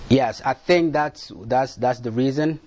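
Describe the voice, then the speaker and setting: A man answering questions on a panel in a halting way, often repeating words and restarting. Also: mid-range voice, a little mellow, a little hiss or hum. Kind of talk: panel discussion.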